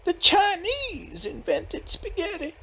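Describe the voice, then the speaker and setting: A woman's voice: very high voice, wildly swooping pitch, takes it slowly, sharp tone, exaggerated voice. A woman voicing a naive, timid, bookish little brother in an audio comedy, earnest and meek. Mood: earnest correcting. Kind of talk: audio comedy-horror acting.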